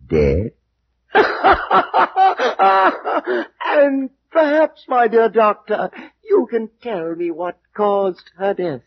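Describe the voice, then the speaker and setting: An actor playing a tormented, half-mad heir of an accursed house in an old radio horror drama, theatrical and swinging between hushed dread and hysteria. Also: male voice, extremely high pitch, wildly swooping pitch, extremely slow, vocal fry, heavily acted. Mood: hysterical grief. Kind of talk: 1940s-50s radio horror drama acting. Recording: muffled vintage radio recording.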